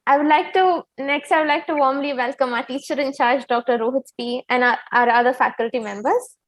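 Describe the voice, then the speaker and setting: A young Indian woman hosts a student event in a high voice. Her delivery is formal and polite, like an official welcome. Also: steady tone, fairly quick, rough recording. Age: young adult. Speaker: student host.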